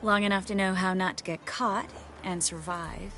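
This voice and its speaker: Woman acting as guarded proud sorceress, wry, conversational, medium pitch, game dialogue, music behind. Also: steady tone, moderate pace, sharp tone, lightly acted. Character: guarded, wounded but proud young sorceress.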